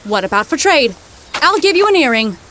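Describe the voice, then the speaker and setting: A determined young adventurer, played by a woman in a comic audio drama. Her voice is high and very animated, and she comes across as brisk and assertive. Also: slight background noise. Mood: firm, bargaining. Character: plucky, determined young female time-travelling adventurer.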